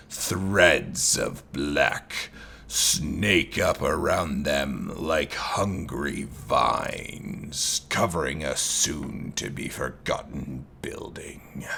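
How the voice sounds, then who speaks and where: A man narrates a horror story in a very low, gravelly voice. He reads at a crawling, deliberate pace. His tone is bright and forceful, with faint noise underneath. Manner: slow, dramatic horror reading.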